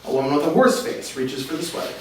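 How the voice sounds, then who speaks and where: A man narrates stage directions from a script in a fairly high voice. He is distant from the microphone, in a very echoey room. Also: expressive, quick pace. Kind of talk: scripted narration at a table read. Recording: very echoey room, distant mic, faint hiss.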